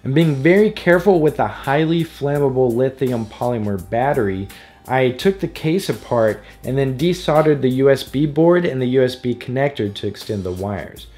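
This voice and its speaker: A man narrates a scripted how-to tutorial as its host. His voice sits at a medium pitch, and his delivery is casual and explanatory. Music plays behind him. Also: expressive, normal speed, a little mellow. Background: background music.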